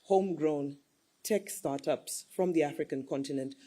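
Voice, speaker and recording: Woman panelist, husky low-key voice, persuasive, stacks up examples. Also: moderate pace, dark tone. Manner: earnest, persuasive, lists examples. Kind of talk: panel discussion.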